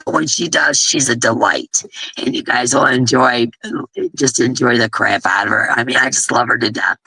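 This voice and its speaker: A blunt, chatty woman hosting her crochet stream, her voice hoarse and gravelly, with a husky edge. The tone is very bright and forward, and the sound is dry and close. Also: very deep voice, normal speed, dry recording, rough recording. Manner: blunt, chatty, rambling, with frequent restarts. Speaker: host of a crochet live stream. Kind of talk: casual live-stream chat.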